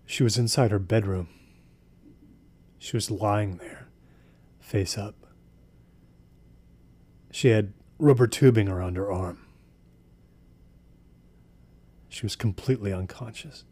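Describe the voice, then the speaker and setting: Actor as a slow, subdued, haunted man. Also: on the low side, darkish tone, slightly rough. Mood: bleak, haunted. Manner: halting, subdued, deliberate. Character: haunted, obsessive, embittered divorced man. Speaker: audio drama actor. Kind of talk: audio drama acting, brooding confessional storytelling.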